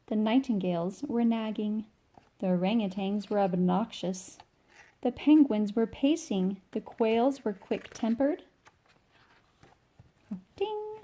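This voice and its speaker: A woman reading a children's picture book aloud as an informal, expressive storyteller who stretches key words for young listeners. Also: mid-range voice. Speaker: read-along storyteller.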